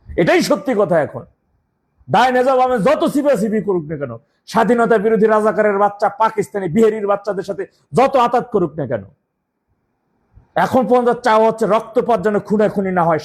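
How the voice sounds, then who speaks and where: A man giving an angry, rambling political monologue full of insults and restarts. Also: high voice. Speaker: political commentator.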